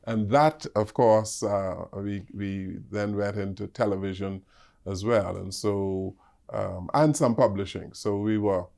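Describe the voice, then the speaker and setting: An older man with a Bahamian accent, a guest answering interview questions with many pauses and fillers. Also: fairly low pitch, creaky, darkish tone. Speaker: ambassador guest.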